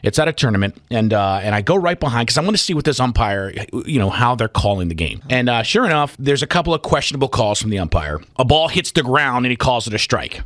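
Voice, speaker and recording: Animated male morning radio host. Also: medium pitch, quick pace, few pauses, no room sound. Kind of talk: animated comic storytelling and banter. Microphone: close studio radio microphone.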